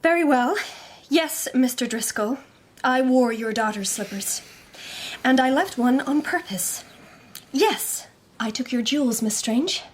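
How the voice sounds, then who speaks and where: A woman's voice: high voice, lively intonation, a little slow, slight background noise. An actress playing a defiant, bitter young society lady, haughty and formal in a period detective radio drama.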